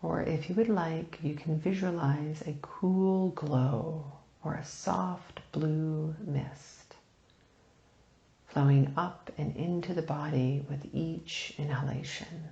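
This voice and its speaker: A woman leading a guided meditation, speaking softly and soothingly in unhurried phrases with long pauses, turning breathy at phrase ends. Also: low voice, fairly even intonation, roomy sound, highs cut off. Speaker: meditation teacher.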